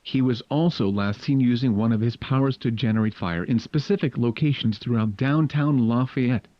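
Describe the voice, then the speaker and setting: A man's voice: medium pitch, moderate pace, no room sound, muffled recording. A synthetic text-to-speech man's voice reading a scripted emergency alert in a formal, impersonal way.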